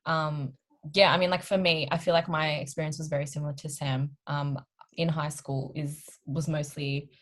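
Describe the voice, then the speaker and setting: A woman's voice: sits low, level tone, moderate pace, a bit bright. A woman in her twenties with an Australian accent, talking casually as she co-hosts a podcast. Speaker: podcast co-host. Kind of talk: casual group chat.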